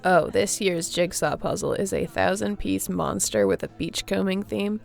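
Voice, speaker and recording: Woman acting as young surfer girl, heavy vocal fry, mid-pitched, easygoing, friendly, casual roleplay chat, music underneath. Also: normal speed. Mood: reassuring. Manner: laid-back surfer slang, chuckling, welcoming.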